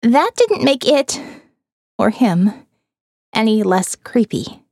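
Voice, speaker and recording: A woman narrates a story in the first person with a fairly high voice. The sound is dry, with very little room in it, as in a produced audiobook. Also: big pitch swings, takes it slowly, vocal fry, a little mellow, no echo.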